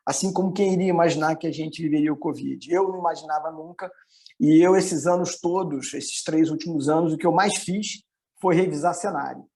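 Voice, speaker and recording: A middle-aged man with a Carioca Rio accent, an economist giving an informal, explanatory presentation with hesitant pauses. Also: fairly high pitch, dark tone. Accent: Carioca (Rio de Janeiro) Brazilian. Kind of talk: informal online presentation with slides.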